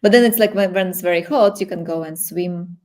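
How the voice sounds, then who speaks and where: Lower-pitched Ukrainian woman, halting and casual. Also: darkish tone. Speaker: workshop host. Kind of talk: casual chat during a live technical workshop.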